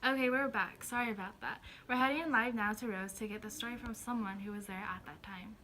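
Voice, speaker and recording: A woman's voice: medium pitch, fairly even intonation, fairly quick, bright, forceful tone, soft background music, lightly acted. A woman playing a blunt, opinionated news anchor in a scripted mock newscast. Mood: formal, newsy.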